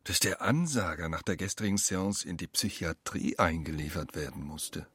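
A man narrating a literary radio drama as a wry, measured storyteller. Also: sits low, a bit bright, breathy edge, no echo.

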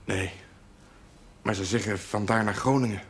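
An actor playing a polite, shy young farmhand turned soldier, speaking quietly and hesitantly in a television period drama. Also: male voice, fairly low pitch, fairly even intonation, normal speed, breathy edge. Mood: subdued, polite.

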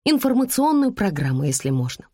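An overwrought, haughty mother, acted out by a woman reader, with her voice pushed high and shrill. She is snappish, demanding and exasperated, with dramatic swings of pitch in a dry storybook recording. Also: sing-song, fairly quick, a little mellow, no echo. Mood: curt.

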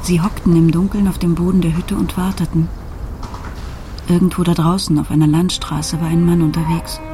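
An actress playing a middle-aged, troubled mother, narrating a radio drama in a measured, introspective way. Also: on the low side, little pitch movement, noisy background, lightly acted. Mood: suspenseful, measured. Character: troubled, watchful mother telling her story. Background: music.

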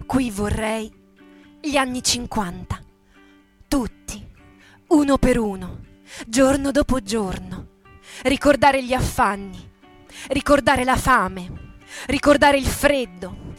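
Female stage reader, high voice, solemn poetic declamation for radio theatre, soft music underneath. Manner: declamatory, solemn, measured phrases. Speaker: stage performer reading.

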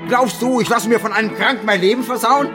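A man's voice: very high-pitched, fast, music in the background. An actor playing a callous, murderous postman in a radio drama, scheming, self-righteous and cold-blooded about violence. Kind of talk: German radio drama acting.

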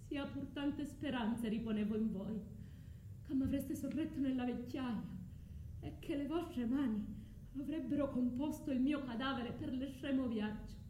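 A woman's voice: high-pitched, unhurried, mellow. An actress playing Medea, an anguished, vengeful mother, in an impassioned, declamatory tragic monologue for radio theatre. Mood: grieving. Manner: impassioned, declamatory, swinging between tenderness and fury. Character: Medea, anguished vengeful mother resolving to kill her children. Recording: roomy echo, faint background noise.

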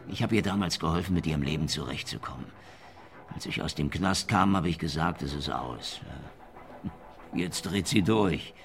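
Gravelly, deep-voiced ex-con. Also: male voice, expressive, normal speed. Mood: weary, resigned. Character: stubborn, wounded male ex-convict refusing to press charges. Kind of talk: German crime radio drama acting.

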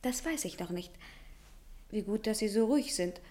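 An actress playing a composed, determined young woman in a German radio play, speaking formally and deliberately. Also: mid-range voice, animated, warm tone, natural voice. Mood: guarded. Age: young adult.

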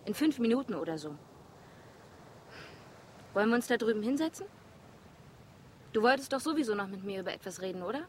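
A woman's voice: mid-range voice, animated, a little fast, long pauses, slight background noise, lightly acted. A woman playing a friendly, casual young woman with a playful manner in a radio drama.

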